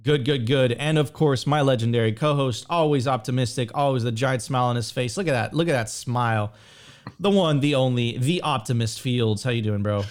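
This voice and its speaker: A man's voice: medium pitch, fairly quick. A man hosting a podcast, eager and emphatic, who repeats phrases to urge viewers on. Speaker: podcast host. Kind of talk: casual podcast chat.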